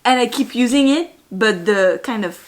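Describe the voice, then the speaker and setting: A woman from France with a French accent, chatting casually as a vlogger, bubbly and halting with fillers. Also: a little high, expressive. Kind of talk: casual chat.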